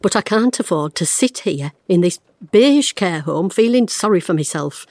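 A woman's voice: lowish voice, lively intonation, normal speed, darkish tone, no echo. An actress, playing a wry, blunt retired woman detective in an audio drama, recorded close and dry. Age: 50s. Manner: wry, blunt, self-mocking, fraying under strain.

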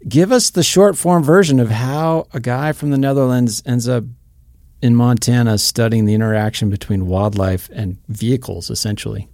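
A man's voice: medium pitch, normal speed, warm tone, no echo, studio quality. A man hosting an interview podcast, asking questions in a friendly, conversational way. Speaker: podcast host.

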